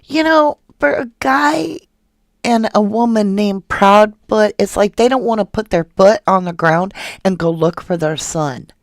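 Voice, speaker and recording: A woman's voice: medium pitch, unhurried, dry recording, clean studio sound. A woman hosting a true crime channel, reading viewer comments aloud and firing off indignant rhetorical questions in a casual live commentary.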